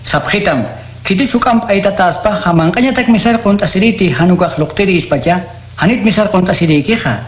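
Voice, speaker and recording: Un hombre interpreta a Jesús enseñando con calma y tono instructivo en un radioteatro cristiano. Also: male voice, sits high, fairly quick, highs cut off, natural voice. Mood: teaching. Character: Jesus, calm adult male teacher. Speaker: voice actor. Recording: echoey room.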